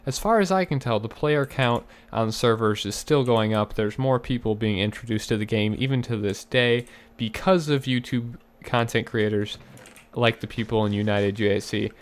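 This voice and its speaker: A young man talks into a desk mic in casual gameplay commentary, his voice a little raspy and his pitch sitting in the middle. He explains himself earnestly, with fillers and restarts, and keyboard typing ticks faintly behind him. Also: moderate pace. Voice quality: slightly raspy. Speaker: gaming YouTuber.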